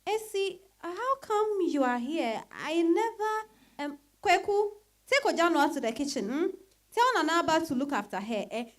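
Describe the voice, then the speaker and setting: A bossy, caring, worn-out mother, acted by a woman. Her high voice swings dramatically up and down, full of exclamations, in a dramatized reading. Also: very animated, normal speed. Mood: surprised, bossy. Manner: exclamatory, peppered with interjections. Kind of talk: dramatized stage-style reading.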